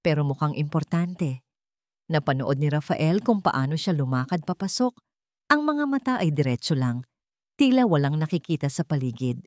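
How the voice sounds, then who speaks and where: A woman's voice: low voice, very animated, moderate pace, a little mellow, dry recording, clean studio sound. A woman with a Filipino accent narrating a scripted romantic drama story in a dramatic storytelling style.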